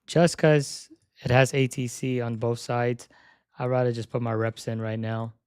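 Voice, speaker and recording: A young man live-streaming a flight sim session, chatting casually and thinking aloud as he sets up, his voice slightly hoarse. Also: medium pitch, level tone, moderate pace, a little mellow, dry recording, clean studio sound. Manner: repeats "yep" while checking items.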